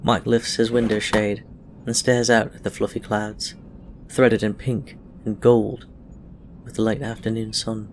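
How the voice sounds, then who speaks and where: A man's voice: fairly low pitch, little pitch movement, a little slow. A man reading a scripted story aloud as an audiobook-style narrator. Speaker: audiobook-style reader of fan fiction. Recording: clear background noise.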